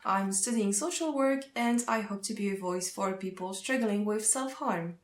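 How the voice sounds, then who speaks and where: A woman's voice: mid-range voice, echoey room. A young woman from Switzerland whose first language is French, speaking English with a French accent, earnestly explaining a topic in a vlog. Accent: Swiss French. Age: young adult. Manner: earnest, explanatory, pauses to find words. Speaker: social work student. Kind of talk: informational vlog.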